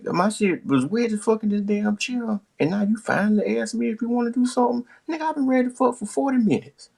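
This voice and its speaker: A man voicing a loud, impatient young woman who is profane, commanding and demanding, in a comic personal anecdote. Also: high-pitched, quick pace, vocal fry, husky, pitched above the performer's own voice, full character voice. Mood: indignant, demanding.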